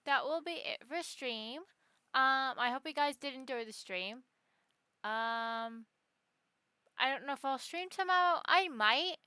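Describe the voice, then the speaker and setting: A woman's voice: high voice, lively intonation, measured pace, sharp tone, smooth, no echo, studio quality. A woman chatting casually on a live game stream, rambling and correcting herself as she talks to her chat. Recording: music behind the voice.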